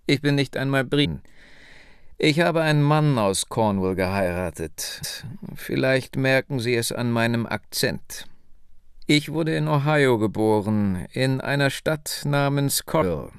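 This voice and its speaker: Man voicing a slow, deliberate hundred-year-old woman storyteller. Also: medium pitch, expressive, clear, resonant tone, dry recording, studio quality. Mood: reminiscing.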